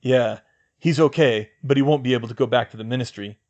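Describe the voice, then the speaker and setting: A man's voice: mid-range voice, a little fast, a little mellow, lo-fi sound, lightly acted. A man voicing a modest teenage boy who speaks plainly and hesitantly. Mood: earnest.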